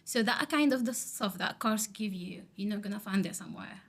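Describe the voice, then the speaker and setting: A woman whose first language is Arabic, a student teacher answering podcast questions haltingly. She often restarts and searches for words. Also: medium pitch.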